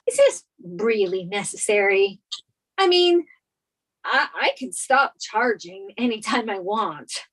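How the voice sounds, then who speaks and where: A woman's voice: on the high side, lively intonation, takes it slowly, a bit bright, breathy edge, exaggerated voice. A woman playing a spoiled, anxious young rich woman, wistful and hesitant, in a sitcom table read. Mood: anxious, reluctant.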